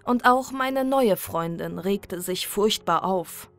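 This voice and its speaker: Slow-paced woman narrating horror fiction. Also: medium pitch, animated. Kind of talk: scripted first-person horror story narration.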